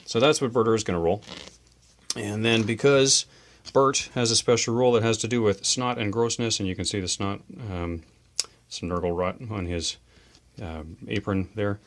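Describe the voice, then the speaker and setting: A man's voice: fairly low pitch, steady tone, a little hiss or hum. A man hosting a tabletop wargame show, chatting casually and enthusiastically about models, dice and rules. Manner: chatty, enthusiastic, quick asides. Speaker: host of a tabletop wargame channel.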